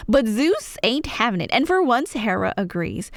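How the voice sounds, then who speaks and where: A woman's voice: fairly high pitch, sing-song, normal speed, no room sound. A woman telling a scripted story in a casual, chatty way, with playful, wry asides as an ASMR roleplay storyteller. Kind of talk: casual, scripted myth retelling.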